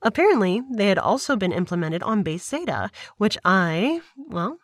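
Audio drama: defiant woman moon reporter, mid-pitched, polished and insistent, very dry recording. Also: big pitch swings, moderate pace, no echo. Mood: confident, informative. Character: determined, defiant woman moon correspondent on a broadcast segment. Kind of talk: audio drama, scripted broadcast presenting.

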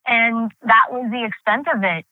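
A woman's voice: a little high, steady tone, moderate pace, no echo. A woman from New in her thirties, telling her family story as an interview guest, candid and reflective with many fillers and restarts. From New York, United States. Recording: tinny phone-like line, dry.

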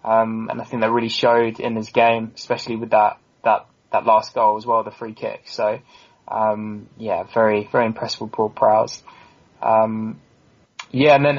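A man on a football podcast panel, talking casually and earnestly in an analytical way. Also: mid-range voice. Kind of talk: casual sports discussion. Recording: dull, lo-fi voice recording.

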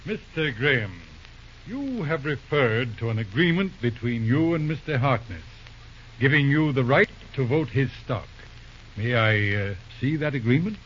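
An actor playing a shrewd, formal judge and lawyer, speaking deliberately and politely but pointedly in an old-time radio drama. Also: male voice, medium pitch, animated, a bit bright, slight background noise. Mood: courteous, probing. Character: shrewd, courteous lawyer judge, calmly cornering his opponent. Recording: muffled old radio recording.

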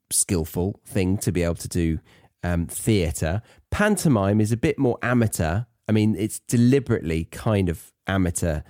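British male podcast teacher, soft dark tone, dry sound, conversational and thoughtful delivery. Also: lowish voice, no room sound. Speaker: podcast host and English teacher. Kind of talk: conversational educational podcast talk.

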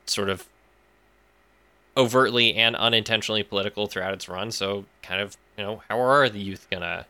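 A man's voice: lowish voice, steady tone, brisk, sharp tone, clean tone. A man explaining things as an interview guest in a casual chat, thinking aloud as he goes. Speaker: podcast writer, interview guest.

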